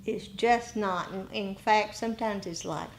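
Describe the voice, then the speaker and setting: A woman meditation teacher giving a dharma talk to a group, speaking deliberately in short phrases with rhetorical questions. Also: mid-range voice, slight background noise, low-quality recording.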